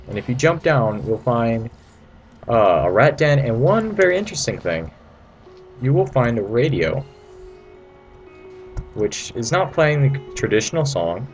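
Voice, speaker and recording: A man's voice: medium pitch, darkish tone. A man giving a casual, playful video game walkthrough in an informal, rambling way. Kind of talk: casual gameplay commentary. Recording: noisy amateur home recording.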